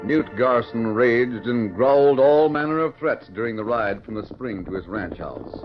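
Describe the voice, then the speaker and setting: A man's voice: medium pitch, expressive, normal speed. A man giving dramatic, scripted narration for a 1940s radio western. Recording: muffled vintage radio recording, music behind. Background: music.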